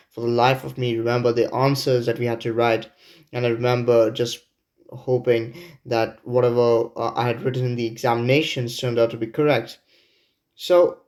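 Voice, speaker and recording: A teenage boy from India hosts a student podcast at an ordinary pitch. He talks in a measured, careful way and often stops for fillers and restarts. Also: darkish tone. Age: teens. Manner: measured, with many hesitations. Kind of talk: casual discussion.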